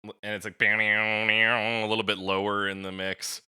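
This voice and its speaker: A man's voice: lowish voice, flat delivery, unhurried, bright, forceful tone, smooth, dry recording, clean studio sound. A man co-hosting a podcast, talking casually about music with informal, joking banter. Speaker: podcast co-host. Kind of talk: casual music discussion chat.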